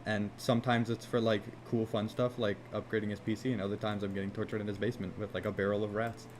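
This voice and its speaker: A man with a lowish, fairly monotone voice chats loosely while he codes on a live stream, swearing casually and circling back on his thoughts. A faint hiss of noise sits under the sound of his desk mic. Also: quick pace. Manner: rambling, laid-back, swears casually, frequent "like" fillers. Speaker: game dev streamer.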